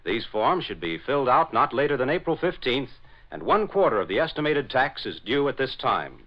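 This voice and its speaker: A man's voice: mid-range voice, big pitch swings, normal speed. An American man announcing and narrating in scripted 1940s radio style, with formal, dramatic enunciation. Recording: dull lo-fi 1940s radio broadcast.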